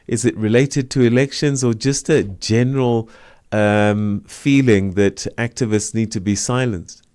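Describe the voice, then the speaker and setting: Smooth-voiced male news host, dry studio. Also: lowish voice, fairly even intonation, a little slow, a little mellow, no room sound. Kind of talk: moderating a news interview.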